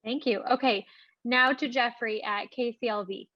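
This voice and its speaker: A woman's voice: on the high side, steady tone, normal speed, no echo. A woman moderating a press conference, introducing questioners and reading out their questions. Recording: dull, lo-fi online call.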